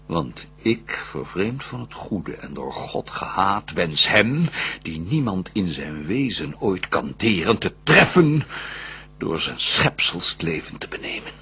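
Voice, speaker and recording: An actor playing the proud, vengeful fallen prince of hell in a radio drama, declaiming verse in a grandiose, brooding, scheming manner. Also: male voice, sits low, very animated, slow pace, vocal fry, sharp tone, breathy edge, heavily acted. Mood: furtive, scheming.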